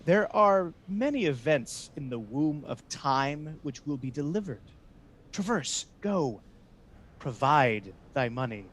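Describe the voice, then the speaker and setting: A man's voice: a little high, very animated, slow pace, a bit bright, full character voice. A man playing a scheming, resentful Venetian ensign in a Shakespeare radio play, persuasive and theatrical. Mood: sly urging.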